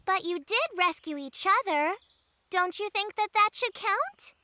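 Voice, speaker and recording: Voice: extremely high pitch, animated, measured pace, very noisy. An actor voicing a friendly, sensible young female fox in a children's cartoon. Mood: caring.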